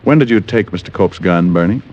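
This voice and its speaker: An actor playing a smooth, wisecracking private detective in 1940s radio drama, questioning in a dry, teasing, unhurried way. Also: male voice, low-pitched, expressive, a little mellow. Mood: calm, insistent. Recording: old muffled radio recording, music behind.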